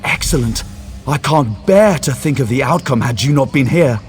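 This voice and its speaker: An actor playing a courteous, scholarly mage in a video game, earnest and excitable, with polite, formal speech. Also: male voice, mid-range voice, moderate pace, slight background noise, lightly acted. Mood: earnest, concerned. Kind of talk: video game character acting.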